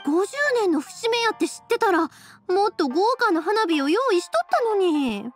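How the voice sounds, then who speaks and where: A woman's voice: very high voice, lively intonation, normal speed. A woman playing a cheerful, friendly young fireworks maker with a Kansai accent in a video game. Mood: cheerful, modest. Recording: music behind the voice.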